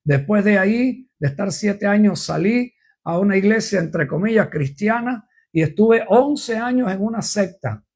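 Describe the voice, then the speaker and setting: A man's voice: high voice, expressive, measured pace, darkish tone. An older man from Cuba, preaching informally on a live program, rambling through his testimony and repeating refrains.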